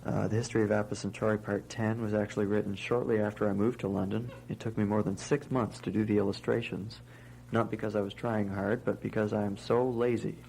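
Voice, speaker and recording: A man with a dark, creaky voice talking informally and with understatement about his own writing. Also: fairly low pitch, flat delivery, fairly quick, slight background noise, poor audio. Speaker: amateur zine writer.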